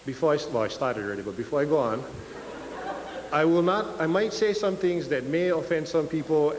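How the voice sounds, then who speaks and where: Young Hawaiian pidgin-speaking man, joking and nervous. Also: medium pitch. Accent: Hawaiian Pidgin English. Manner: nervous, joking, self-deprecating. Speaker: guest speaker. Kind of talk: casual public talk. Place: lecture hall. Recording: reverberant hall with faint hiss.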